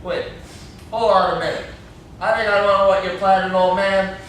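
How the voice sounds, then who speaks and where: Casting: cocky, argumentative backwoods hustler played by a young man, high voice, amateur stage play in echoey room. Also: steady tone, normal speed, fairly bright tone. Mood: wary. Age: young adult. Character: defiant, cocky backwoods male dog-fight promoter. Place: classroom, indoors. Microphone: far camera. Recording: echoey classroom, distant camera mic, noisy.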